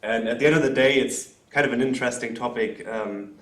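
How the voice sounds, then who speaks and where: A man in the audience asking a long question at an academic talk, speaking loudly with many hesitations. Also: mid-range voice, brisk. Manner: loud, hesitant, many fillers. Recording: roomy hall sound.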